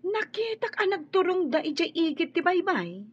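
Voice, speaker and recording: An actress with an Ilocano accent playing a nosy, excitable young woman friend in a radio drama. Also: very high-pitched, moderate pace, highs cut off. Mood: surprised, indignant.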